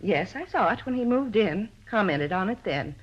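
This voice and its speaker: A woman's voice: medium pitch, expressive, moderate pace, close to an everyday voice. An actress playing a touchy, self-important American landlady in a 1950s radio drama, talkative and defensive. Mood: explaining. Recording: muffled old radio recording.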